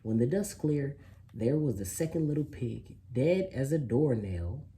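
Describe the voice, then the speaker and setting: A reader voicing a sly, self-excusing wolf who tells his own story from a children's picture book in an animated, mock-innocent way. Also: mid-range voice, unhurried, a little hiss or hum. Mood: self-justifying.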